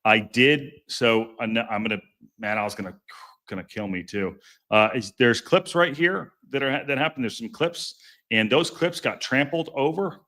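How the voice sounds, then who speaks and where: A man hosting a podcast, chatting casually and jokingly with live viewers in a deep, strong voice, often stumbling and restarting his sentences. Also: normal speed, bright, forceful tone. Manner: rambling, self-interrupting, stumbles over words; chatty and jokey. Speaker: podcast host. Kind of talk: casual live-stream chat reading viewer comments.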